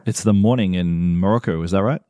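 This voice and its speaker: A man hosting a podcast, giving an earnest, measured narration and interviewing a guest. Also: low-pitched, warm tone. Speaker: podcast host.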